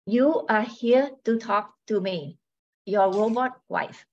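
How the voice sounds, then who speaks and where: Through a slightly muffled recording comes a robot wife played by an older woman in an amateur radio play. She talks very slowly, with mechanical courtesy. Her manner is measured and coolly calculating, even as she apologises. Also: mid-range voice. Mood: polite, matter-of-fact. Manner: robotic, measured, matter-of-fact. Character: tall female robot wife, polite, coldly calculating. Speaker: amateur radio drama actor. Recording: dull, muffled lo-fi sound.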